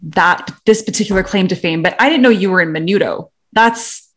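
A woman co-hosting a casual interview podcast, enthusiastic and quick to laugh along with her guest. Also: mid-range voice. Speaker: podcast co-host.

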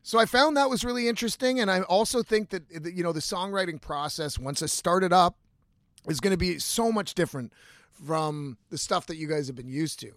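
A man's voice: high-pitched, brisk, a bit bright, dry recording, studio quality. A man in his thirties hosting a casual, confessional solo podcast, rambling candidly and swearing freely. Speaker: podcast host, singer-songwriter.

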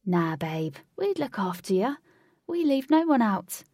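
A woman voicing an excitable, friendly young man with a slangy, chummy manner in a story. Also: medium pitch, unhurried, no echo, studio quality. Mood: reassuring. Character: excitable, friendly young male teammate Lynx, chummy and energetic.

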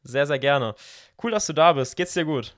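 A man's voice: a little high, expressive, normal speed, fairly bright tone. A man, hosting a podcast interview in a casual, conversational style.

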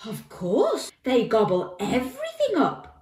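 A woman plays a small lost boy in a storybook, high-pitched and roomy in sound. He is earnest and sniffly, warning dramatically of dreadful things. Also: wildly swooping pitch, unhurried, noisy background, heavily acted. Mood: dramatic warning. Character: lost, frightened small boy, glum and exaggerating.

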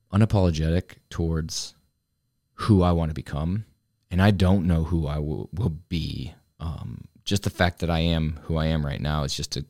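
Man, forties, very deep voice, dry close sound, earnest, rambling reflective talk. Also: a little mellow, no room sound. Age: 40s. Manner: earnest, rambling, with many restarts. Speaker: vlogger, fitness coach. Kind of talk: reflective solo talk to camera.

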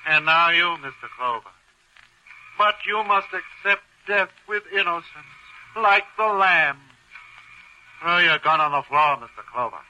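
An actor playing a deranged, religious killer in a 1940s radio drama, preachy and menacing. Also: male voice, fairly high pitch, lively intonation, a little slow, sharp tone, heavily acted, rough recording. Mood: fanatical menace. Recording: old radio recording with noise.

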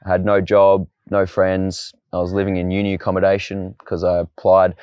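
A relaxed Australian man in his late twenties, telling his story as an interview guest. His voice is very low and flat, with little rise or fall. The recording is dry and close. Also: moderate pace, clear, resonant tone, no echo, highs cut off. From Tasmania, Australia. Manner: relaxed, storytelling, says "mate". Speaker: guest, fitness coach. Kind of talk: casual interview chat.

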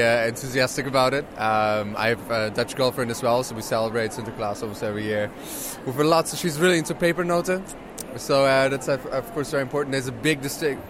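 A man from Germany answering an interview in an easygoing, careful way, pausing with fillers. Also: mid-range voice. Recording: noisy outdoor recording.

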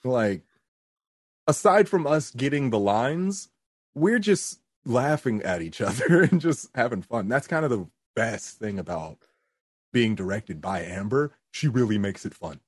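A man's voice: medium pitch, lively intonation, unhurried, breathy edge, dry recording, studio quality. A young man, a voice actor, chatting casually in an interview and laughing often. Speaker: voice actor guest.

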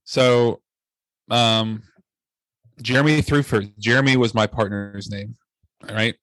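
A man's voice: fairly low pitch, fairly even intonation, slow pace, fairly bright tone, dry recording, rough recording. A man co-hosting a podcast, telling a story casually with a rambling, hesitant delivery. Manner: rambling, hesitant, many fillers and restarts. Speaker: podcast co-host.

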